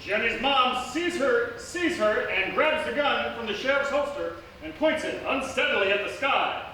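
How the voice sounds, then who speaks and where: Guy doing pompous radio serial narrator, swoopy high voice, distant mic in noisy hall. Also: lively intonation, normal speed, pushed and bright, full character voice. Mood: dramatic. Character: dramatic serial announcer with dry comic pomp. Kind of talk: scripted radio serial narration before an audience. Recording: echoey live theatre, distant mic, noisy.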